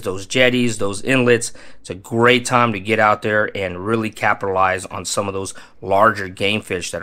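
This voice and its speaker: Bright-voiced man giving energetic fishing tips. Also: mid-range voice. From Texas Gulf Coast. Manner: energetic, emphatic, repeats phrases for stress. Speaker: fishing channel host. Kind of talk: casual fishing tips to camera. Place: small home room with colored lights.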